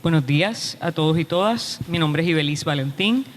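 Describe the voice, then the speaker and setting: A woman from Puerto Rico, a pastor and chaplaincy director, introducing herself briskly on a panel. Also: low-pitched, expressive. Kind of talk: brief self-introduction on a panel.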